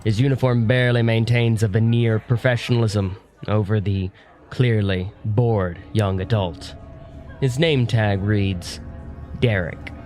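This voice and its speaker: A man narrating a scripted audio drama in a measured, descriptive way. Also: lowish voice, fairly even intonation, a little mellow, faint music behind.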